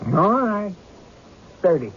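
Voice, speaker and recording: An actor playing a stubborn, excitable rural card player in folksy country talk for an old-time radio drama. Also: male voice, high voice, takes it slowly, a little hiss or hum, heavily acted. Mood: stubborn bargaining. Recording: dull lo-fi radio recording with music.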